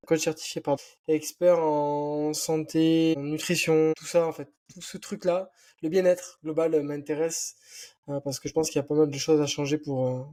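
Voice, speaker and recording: A young man with a light southwestern French accent talks loosely as a podcast guest, stringing thoughts together with plenty of fillers. His voice sits a bit high, with a soft, mellow, warm tone. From southwest France. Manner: rambling, many fillers. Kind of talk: casual podcast chat.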